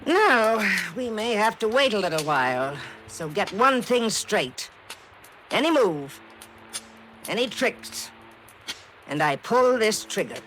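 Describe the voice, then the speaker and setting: A woman's voice: mid-range voice, very animated, slow, long pauses, fairly bright tone. Two-faced woman smuggler, swooping delivery. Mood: cold, threatening. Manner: mocking, syrupy, then coldly threatening. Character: ruthless woman smuggler with a sugary false sweetness. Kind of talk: 1950s radio crime drama acting. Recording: noisy old radio recording.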